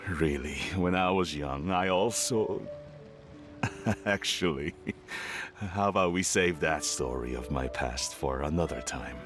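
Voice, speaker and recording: An actor playing a reserved, proud father who talks in a gentle, halting way with awkward chuckles. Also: a bass voice, music in the background. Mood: earnest. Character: gruff older father, reserved but proud. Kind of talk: video game voice acting.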